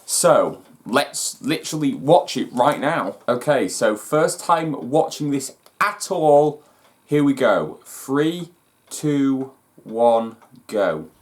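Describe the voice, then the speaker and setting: A man's voice: medium pitch, very animated, takes it slowly. A man hosting a fan reaction video, talking casually with enthusiastic, emphatic delivery. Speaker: host of a fan reaction channel. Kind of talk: excited trailer reaction and casual breakdown.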